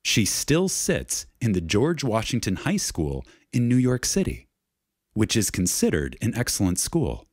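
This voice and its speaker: Male lecture reader, reverent and emphatic, lowish pitch with lively swings, deliberate pauses, dry close sound. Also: breathy edge, no room sound. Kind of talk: reverent, dramatic reading of a metaphysical lecture.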